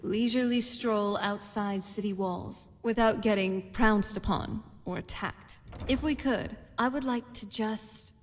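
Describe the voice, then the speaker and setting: An actress playing a young, wry, world-weary vampire companion in a fantasy game, speaking in an unhurried way. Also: female voice, mid-range voice, a little hiss or hum, natural voice. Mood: weary, wistful. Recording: long hall reverb, music behind.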